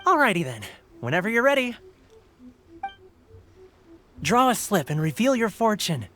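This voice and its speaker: A welcoming festival fortune vendor, genial and deferential. The delivery is very lively in anime game style, with slight background noise. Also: male voice, high-pitched, moderate pace. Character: welcoming, respectful male fortune-slip vendor. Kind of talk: anime video game voice acting.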